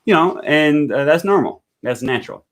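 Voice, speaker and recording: A man's voice: on the high side, expressive, moderate pace. An American man hosting a language-learning live stream, thinking aloud with frequent fillers and restarts as he reads viewer comments. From United States.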